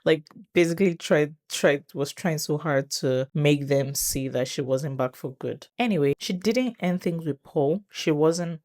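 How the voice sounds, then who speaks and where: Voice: mid-range voice, a little slow, hardly any pauses, darkish tone. A video host giving casual, reflective commentary on a TV drama, talking conversationally with small hedging asides.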